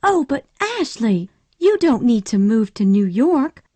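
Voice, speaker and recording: A woman voicing a headstrong, passionate young Southern woman in a storybook reading, high, urgent and emotional. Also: sing-song, measured pace, warm tone, no echo. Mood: eager, pleading.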